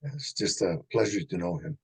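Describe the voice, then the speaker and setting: An older man, a senior martial arts teacher, chatting in a plain-spoken, measured way. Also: lowish voice, animated, vocal fry, husky, rough recording. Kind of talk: casual chat.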